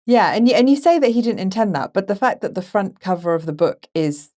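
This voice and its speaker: A woman's voice: medium pitch, expressive, fairly quick, a little mellow. A woman co-hosting a podcast, talking through a film in a casual, thoughtful and conversational way. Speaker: podcast co-host.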